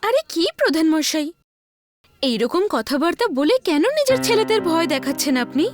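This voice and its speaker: Woman doing a brisk cartoon lady doctor, super high swoopy voice, bossy but reassuring, music behind. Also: very animated. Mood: reassuring, chiding. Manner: chiding, emphatic, reassuring. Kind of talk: animated story dubbing.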